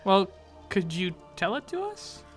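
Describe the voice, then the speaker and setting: A man's voice: high-pitched, huge pitch swings, measured pace, soft background music. A teenage young man hosting and narrating a scripted audio drama with comic banter. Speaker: narrator and creator.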